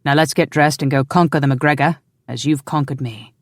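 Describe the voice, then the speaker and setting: A narrator plays a loving husband in a historical romance audiobook, commanding and easily exasperated. The voice sits at a middle pitch with a stern, sardonic edge. It is recorded very dry. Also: steady tone, a little fast, dry recording, close to an everyday voice. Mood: teasing.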